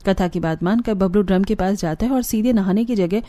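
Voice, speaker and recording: A woman's voice: medium pitch, a little fast, dark tone, no echo. A woman reading a scripted moral story as its narrator. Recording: music behind the voice. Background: background music.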